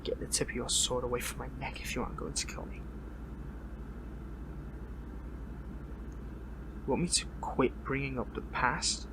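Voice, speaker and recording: A man performs a captured male superhero, bitter and defiant, in a dramatic audio roleplay. His mid-pitched voice is strained and halting, dropping into breathy taunts. A steady noise sits clearly behind the words. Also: lightly acted.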